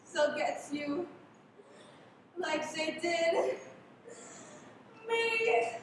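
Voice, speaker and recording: Young woman acting a high-voiced, mysterious hunted female spy. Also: extremely slow, exaggerated voice. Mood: desperate, dying. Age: young adult. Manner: dramatic, urgent, secretive. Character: mysterious, intense female foreign secret agent, hunted and mercenary. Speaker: high school drama student acting. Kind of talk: live radio play acting. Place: live school performance, voice through a mask. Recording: echoey, noisy livestream from far off.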